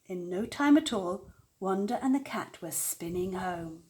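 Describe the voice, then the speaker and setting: An older woman telling a children's story aloud with expressive narration. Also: medium pitch, a little slow. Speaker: storyteller, grandmother reading aloud.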